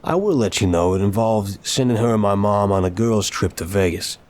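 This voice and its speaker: A man playing a suave, playful young mafia boss in an intimate audio roleplay, teasing and smooth-talking, leaning on words for emphasis. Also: fairly low pitch, fairly quick, a little mellow, lightly acted. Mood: smug, teasing.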